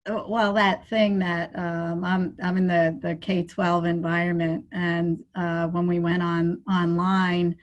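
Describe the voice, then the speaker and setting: A woman's voice: on the low side, flat delivery, slow pace, few pauses, lo-fi sound. A woman taking part in an online workshop over a video call, frankly telling a story about school life. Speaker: workshop participant. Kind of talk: casual chat.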